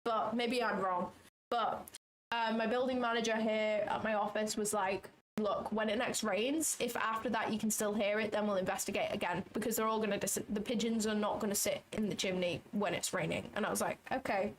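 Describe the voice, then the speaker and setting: A woman's voice: mid-range voice, fairly even intonation, brisk, slight background noise, poor audio. A woman hosting a trading livestream from her office, chatting casually, thinking aloud, second-guessing herself and swearing now and then. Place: small office.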